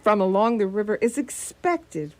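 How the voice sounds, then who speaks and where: A woman's voice: mid-range voice, big pitch swings, normal speed, heavy background noise, close to an everyday voice. A woman acting in a radio play as a witty, flirtatious lady doctor with formal, playful phrasing. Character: witty, flirtatious, poised female doctor detective's companion. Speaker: actor in a community radio play.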